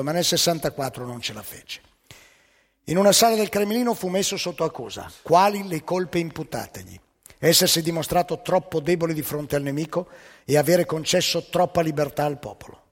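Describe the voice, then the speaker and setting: A man telling satirical anecdotes as a stage monologue, with dramatic pauses and emphatic delivery. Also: fairly high pitch. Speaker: stage storyteller. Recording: live theatre hall with long reverb.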